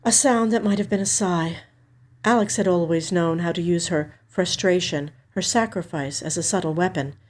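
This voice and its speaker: A woman narrates a literary mystery story in the first person. Her voice sits a little low, and her reading is measured and expressive. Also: slight background noise. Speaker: actor reading a short story.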